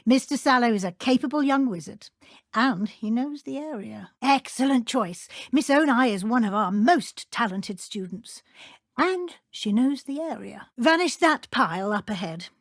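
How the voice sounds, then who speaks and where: Kindly but brisk British deputy headmistress of a wizard school, fantasy game. Formal diction, higher female register, close dry recording. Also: dry recording. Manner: formal, crisp, measured schoolmistress phrasing. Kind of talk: scripted video game voice acting.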